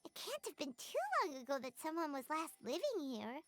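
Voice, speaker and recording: A woman's voice: high voice, very animated, brisk, heavy background noise. A woman voicing a tiny, childlike, bubbly female floating companion in a video game. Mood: curious. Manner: refers to self in third person, exclaiming. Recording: background game music.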